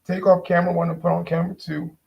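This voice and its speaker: A host chatting informally on a live show, asking questions and thinking out loud. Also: mid-range voice, level tone, fast talker, gravelly, muffled recording, crowd noise. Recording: faint background noise.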